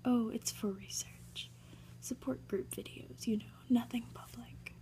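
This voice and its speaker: A woman voicing a smooth-talking, self-important, evasive male professor in a storybook, with small hesitations. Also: fairly high pitch, fairly even intonation, measured pace, mellow, whispered, lightly acted. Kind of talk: whispered storybook character reading.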